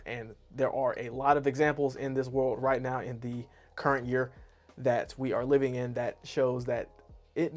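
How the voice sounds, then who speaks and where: A man reviewing his year to camera in a casual, rambling, self-deprecating way. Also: mid-range voice. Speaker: YouTube artist and animator.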